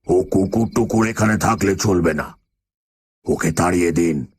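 A man's voice: sits low, darkish tone, slightly rough. A man playing a gaunt, irritable old landlord in a radio horror drama, with a thick, hollow voice and a curt manner. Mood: irritated, troubled. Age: older. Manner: curt, brusque, drawn-out delivery. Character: gaunt, decrepit old zamindar, irritable and sinister.

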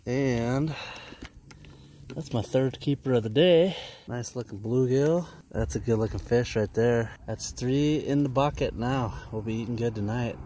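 A man's voice: medium pitch, unhurried, dark tone, slight background noise. A man in his thirties casually narrating a fishing trip, folksy and chatty, out on a lake in a kayak. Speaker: fishing vlogger. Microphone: action camera.

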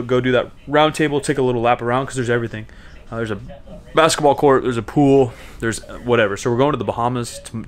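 A young man in his twenties casually vlogging to a handheld camera from a small cabin on a cruise ship, relaxed and slangy with lots of fillers. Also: medium pitch. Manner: laid-back, slangy, many fillers. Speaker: fitness vlogger. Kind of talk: casual vlog talk to camera. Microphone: handheld camera mic at arm's length.

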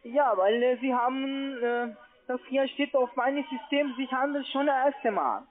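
A man's voice: extremely high pitch, measured pace. A man with a foreign accent, a non-native speaker, walking a customer through steps as a call centre agent. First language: not German. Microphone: phone. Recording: dull, lo-fi phone line.

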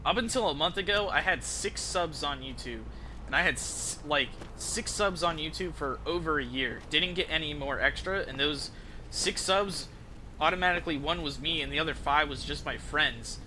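Higher-voiced male streamer, noisy audio. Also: moderate pace, bright, forceful tone. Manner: informal, rambling. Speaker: game streamer. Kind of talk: casual livestream chat over gameplay. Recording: noisy stream mic with game ambience. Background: game audio.

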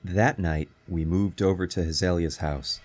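An actor playing an earnest, haunted man who narrates a radio horror drama in a measured, ominous way. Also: sits low, steady tone, darkish tone.

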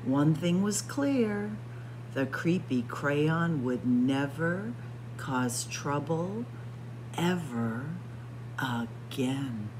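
A woman reading a picture book aloud in a dramatic, suspenseful story-time style, sometimes hushed for emphasis. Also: low-pitched, extremely slow, noisy background. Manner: suspenseful, expressive, drops to hushed whispers for emphasis. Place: indoor library room with bookshelves and windows.

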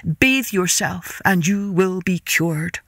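A woman voicing a solemn, stately male god from a myth, speaking in measured phrases. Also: lowish voice, fairly bright tone, dry recording, studio quality. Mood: grave.

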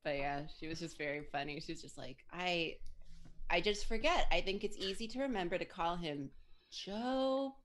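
A woman's voice: fairly low pitch, expressive, moderate pace, slight background noise. A woman co-hosting a live stream, telling funny anecdotes in casual chat and laughing often. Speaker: stream co-host.

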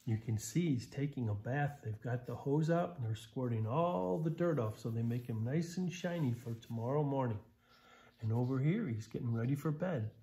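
A man's voice: medium pitch, mellow. A middle-aged American man reading a picture book aloud, expressively, with simple explanations for young children. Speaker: volunteer story reader. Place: small living room, indoors on a sofa.

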